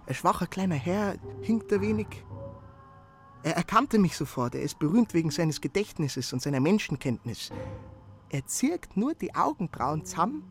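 An actor playing an anxious young male messenger in a radio play, stammering as he hurries through his report. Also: sits high.

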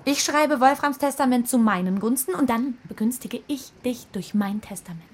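An actress playing an eager, naive conspirator bargaining in a radio crime drama. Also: female voice, a little high, lively intonation, normal speed, a little hiss or hum, natural voice. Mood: eager scheming. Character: eager conspirator proposing a forged will.

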